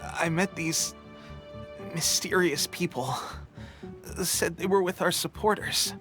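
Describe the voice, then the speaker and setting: A man playing an earnest, weakened young resistance soldier in a video game, speaking haltingly and wearily as he puts on a brave face. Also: fairly high pitch. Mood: puzzled, wistful. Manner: halting, weary, friendly, coughing between phrases. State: exhausted and ailing. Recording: game music behind the voice. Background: background music.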